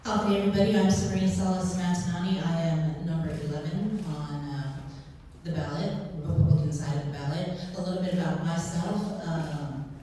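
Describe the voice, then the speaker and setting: A woman in her fifties from Guam, a senatorial candidate giving a candid, lively forum speech. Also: fairly low pitch, brisk. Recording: echoey hall from far off, faint noise.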